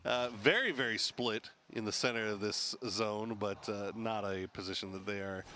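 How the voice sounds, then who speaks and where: A man commentating a competitive shooter match, jokey and animated, laughing often. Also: on the low side, normal speed, a little hiss or hum. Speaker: esports caster. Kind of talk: play-by-play commentary.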